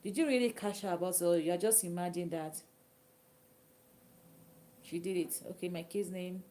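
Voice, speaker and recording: A Nigerian woman whose native language is Yoruba, chatting casually with viewers on a live stream, sometimes dropping to a soft whisper. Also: lowish voice, fairly even intonation, moderate pace. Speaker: livestream host. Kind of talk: casual live chat reading comments.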